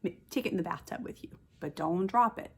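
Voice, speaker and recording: A woman kindergarten teacher reading a picture book aloud, with expressive stressed words and chatty asides to the children. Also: lowish voice, fast, breathy edge.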